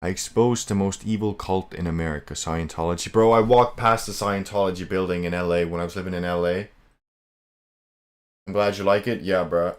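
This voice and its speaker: A man's voice: low-pitched, steady tone, brisk. A young man from Latvia streaming casually, rambling in a laid-back way and calling everyone "bro".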